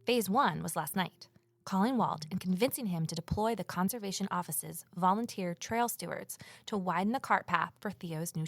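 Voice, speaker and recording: An actress playing a determined, resourceful amateur sleuth in an audio drama, brisk and persuasive as she narrates and talks. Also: medium pitch. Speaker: narrator and lead character.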